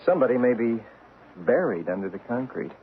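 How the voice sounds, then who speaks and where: A man's voice: mid-range voice, big pitch swings, a little fast, natural voice. Man acting practical ghost hunter, tinny broadcast. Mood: businesslike. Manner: matter-of-fact, conversational. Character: practical male ghost hunter handling the camera. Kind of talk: radio drama acting. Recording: tinny, noisy old radio broadcast.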